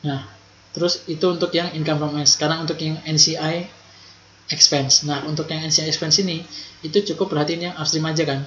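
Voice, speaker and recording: A man's voice: on the high side, level tone, brisk, a bit bright. A young man gives an informal tutorial, speaking colloquially and repeating key points for emphasis. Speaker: accounting tutor. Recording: roomy echo, dull lo-fi, faint noise.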